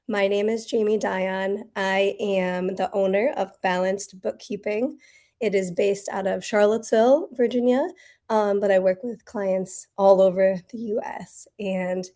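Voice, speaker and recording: Raspy, hoarse American woman teaching. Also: mid-range voice, warm tone. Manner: friendly, chatty, many fillers and restarts. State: hoarse from the flu. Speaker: bookkeeping instructor. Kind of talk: webinar teaching. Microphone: computer mic on a video call.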